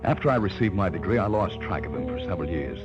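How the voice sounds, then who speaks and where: A man's voice: low voice, expressive, fast, over music. An actor playing an earnest, polite research chemist in his thirties, in 1950s radio drama style. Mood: reflective. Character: earnest male research chemist, respectful former student. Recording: dull old 1950s radio recording.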